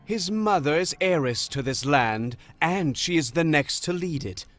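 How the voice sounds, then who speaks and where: A man playing a sly, persuasive god of deception in a fantasy audio drama, talking in a deliberate, theatrical way. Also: on the high side, lively intonation, a bit bright, no echo. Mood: pleased. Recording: music under the voice.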